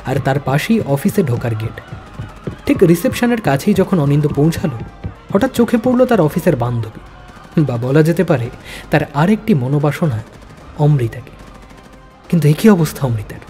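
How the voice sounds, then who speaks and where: A man's voice: fairly high pitch, dark tone. A man narrating a spy thriller audio story in a dramatic, suspenseful manner.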